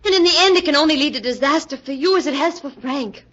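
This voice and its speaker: A woman's voice: sits high, quick pace, fairly bright tone. An actress playing a grave, anxious young woman in a solemn 1940s radio drama manner. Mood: alarmed. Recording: dull vintage 1940s radio recording.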